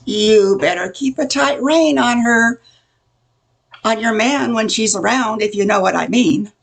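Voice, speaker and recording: An older woman acts out a nosy welcome-wagon busybody in an old-time radio comedy. Her voice sits fairly high, with a husky, gravelly edge. She chatters along, full of insinuation. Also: measured pace. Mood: sly gossip. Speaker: amateur radio play performer.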